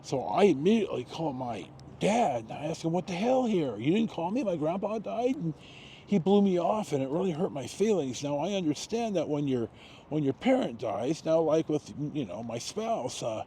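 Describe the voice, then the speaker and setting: An American man in his sixties telling a personal story casually, rambling with long pauses and self-corrections. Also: on the high side, sing-song, dark tone. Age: 60s. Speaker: video storyteller. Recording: noticeable background noise.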